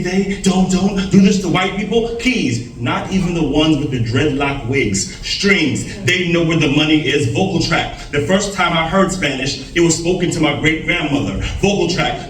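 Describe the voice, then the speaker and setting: A man's voice: on the high side, a bit bright. A man performing spoken word poetry with rhythmic, chanted refrains. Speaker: poet performer. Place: event venue, large room. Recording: echoey and distant.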